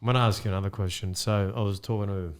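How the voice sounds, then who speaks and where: A man's voice: lowish voice, steady tone, fast talker, clean tone. A man hosting a podcast interview, reflective and supportive, with frequent agreeing murmurs. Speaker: podcast host.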